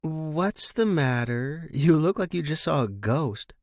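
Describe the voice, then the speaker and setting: A man voicing a brisk, prying young female coworker in an audio story, casual and pointed. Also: fairly high pitch, expressive, no echo, studio quality, voice pushed up.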